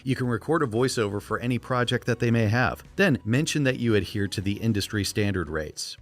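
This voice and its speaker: An American man with a trained radio voice, coaching viewers in a clear, emphatic, scripted presentational style. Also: fairly low pitch, animated, a little fast. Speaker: voiceover coach and video host. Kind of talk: scripted instructional narration to viewers.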